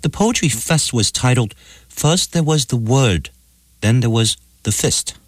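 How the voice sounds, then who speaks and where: A male reporter narrating a scripted radio news feature in a measured, even way. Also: medium pitch, dry recording.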